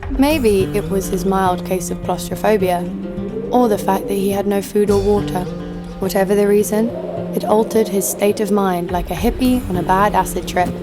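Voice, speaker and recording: A jaded female killer , played by an actress, narrates her wasteland life in a dry, sardonic deadpan. Her pitch sits in the middle for a woman. Music plays clearly behind her audio drama narration. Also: normal speed, lightly acted. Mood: wry, bitter. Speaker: narrator and lead actor. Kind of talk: first-person scripted narration.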